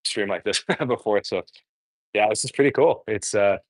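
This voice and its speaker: A man's voice: on the low side, moderate pace, dry recording, clean studio sound. A man, chatting frankly while cooking on a livestream, full of fillers and restarts. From born in Korea, raised in the US. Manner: rambling, filler-heavy, frank. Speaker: dating coach host.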